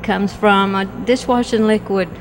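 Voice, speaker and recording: A woman craft vendor chatting casually about her handmade ornaments, pausing often to find her words, speaking into a handheld mic on an outdoor patio. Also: mid-range voice, fairly even intonation. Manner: halting, searching for words. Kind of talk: casual chat. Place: outdoor patio at a train station shop. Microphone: handheld mic held by host.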